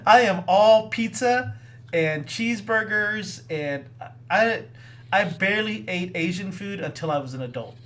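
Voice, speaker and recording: A man's voice: high-pitched, expressive, unhurried, a bit bright. A man with an American accent, co-hosting a casual chat, enthusiastic and laughing often. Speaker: regular co-host.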